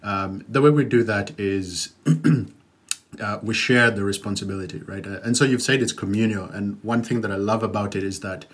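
A man's voice: lowish voice, moderate pace, a bit bright. Man talking in a thoughtful, hesitant way. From Uganda. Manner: thoughtful, hesitant, many fillers and "right?" tags. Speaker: podcast guest. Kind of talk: conversational podcast interview.

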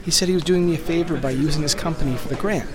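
A man's voice: fairly high pitch, racing, crowd noise, close to an everyday voice. An actor in an audio drama playing an eager, naive young man, earnest, nervous and deferential. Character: eager, apologetic young male acquaintance, naive. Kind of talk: naturalistic audio-drama acting.

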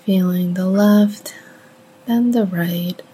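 Slow, husky woman guiding a meditation. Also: mid-range voice, steady tone, mellow, rough recording. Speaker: meditation guide. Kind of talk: guided meditation, slow instructional delivery with long pauses.

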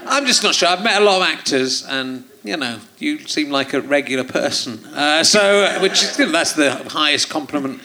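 A man's voice: a little high, animated, brisk, few pauses, sharp tone. A man hosting a live theatre podcast, teasing his guest in a rambling, joking way and laughing at his own jokes. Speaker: podcast host. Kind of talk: casual chat interviewing a guest. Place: theatre stage.

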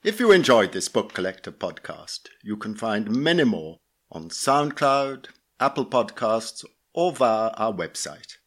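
A man's voice: fairly low pitch, sing-song, a little slow, a bit bright. A man reading a scripted podcast announcement.

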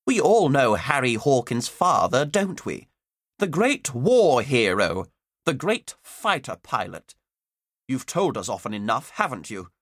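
A man's voice: mid-range voice, big pitch swings, a little slow, fairly bright tone, husky, no room sound, clean studio sound, pitched above the performer's own voice, full character voice. A man voicing a furious, sarcastic woman schoolteacher with a severe, emphatic delivery in an audiobook story. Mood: acid sarcasm.

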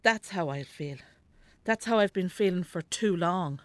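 An actress playing a weary, sharp-tongued elderly Irish mother in a naturalistic radio drama, grumbling bluntly. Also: on the low side, animated, unhurried, no room sound. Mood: weary, complaining. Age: older.

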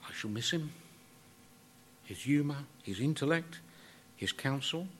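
A man delivering a formal, prepared eulogy in a measured, courteous and wordy manner. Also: mid-range voice, animated, a bit bright. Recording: clear background noise, live event.

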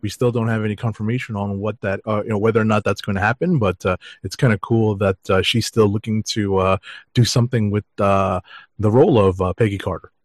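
A man hosting a podcast, chatting casually through news items with halting, measured phrasing. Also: fairly low pitch, fairly even intonation, no echo, clean studio sound. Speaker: podcast host. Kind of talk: casual comic book news chat.

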